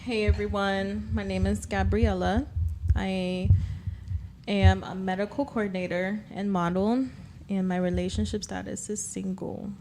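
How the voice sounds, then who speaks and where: A woman introducing herself as a podcast guest, calm and measured. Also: mid-range voice, background noise.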